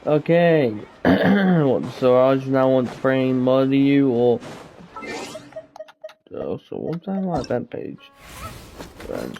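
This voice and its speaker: Creaky man muttering over gameplay. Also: mid-range voice, very animated, takes it slowly, long pauses, mellow, faint music behind. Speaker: streamer playing a game. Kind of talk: casual gameplay chat.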